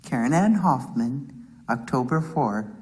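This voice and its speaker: Slow, low-voiced woman in reverb. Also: expressive, darkish tone. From Wisconsin, USA. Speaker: beadwork artist. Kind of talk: prepared narration for a video.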